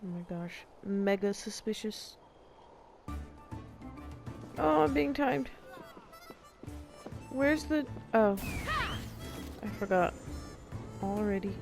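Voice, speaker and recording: A woman's voice: medium pitch, expressive, slow pace, gravelly, long pauses, darkish tone. A woman streamer giving casual, playful gameplay commentary, reacting with self-deprecating humor. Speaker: video game streamer. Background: game music.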